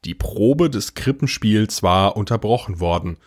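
A man's voice: lowish voice, expressive, unhurried. A man playing a wry, unflappable older brother, narrating his story in a dry, understated way. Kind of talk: scripted first-person narration.

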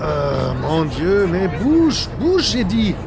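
An actor playing an irritable French bus driver with a French accent in a radio play. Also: male voice, sits high, wildly swooping pitch, takes it slowly, heavily acted. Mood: impatient, cursing. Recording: loud traffic noise.

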